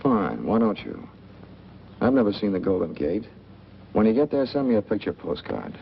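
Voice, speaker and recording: A cold, guarded man from a 1940s noir. His voice is gravelly and his lines are short and dry. It comes through a muffled, slightly noisy film soundtrack. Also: fairly low pitch, sing-song, normal speed. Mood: cold, sardonic.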